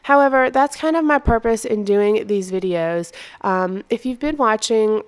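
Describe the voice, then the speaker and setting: An American woman with a stuffy, congested voice gives a low-key, casual voice-over as a craft video host. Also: moderate pace, clear, resonant tone. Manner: low-key, not very peppy. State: congested from being under the weather.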